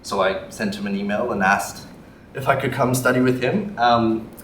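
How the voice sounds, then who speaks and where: A young man from Canada with a Canadian accent, giving a public maths lecture in a relaxed, friendly way with frequent ums. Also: mid-range voice, moderate pace. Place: lecture hall. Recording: echoey hall from far off, faint noise.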